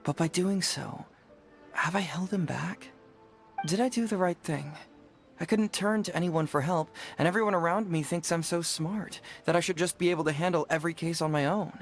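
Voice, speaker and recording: A man voicing a clever young detective in a video game, smooth and playful with some quiet self-doubt, phrasing his words with care. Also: on the high side, normal speed, faint music behind, natural voice. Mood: earnest, troubled.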